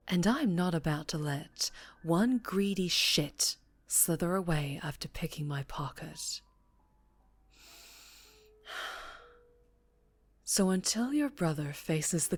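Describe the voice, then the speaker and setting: A woman playing a ruthless, teasing mafia boss in an ASMR roleplay, commanding and condescending, often dropping into breathy murmurs. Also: low-pitched, exaggerated voice.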